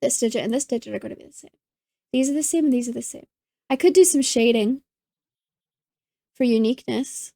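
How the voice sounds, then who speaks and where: A woman hosting a puzzle channel, slightly hoarse as she loses her voice, thinking aloud and easily delighted while she solves. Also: on the high side, brisk, pauses often, dark tone, dry recording, studio quality.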